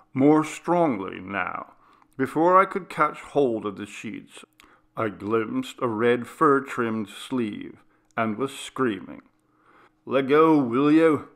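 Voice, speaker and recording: Slow, gravelly male story reader. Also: medium pitch, a bit bright. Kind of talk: scripted ghost story narration.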